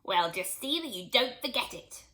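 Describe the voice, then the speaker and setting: A woman's voice: a little high, sing-song, moderate pace, bright, forceful tone. A woman voicing a screechy, bossy old grandmother in a storybook reading, her tone nagging and demanding. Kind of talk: storybook read-aloud.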